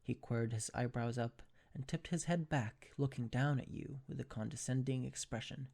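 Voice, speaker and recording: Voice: medium pitch, normal speed, vocal fry, a little mellow. A narrator reading second-person fan fiction in an ASMR storytelling style.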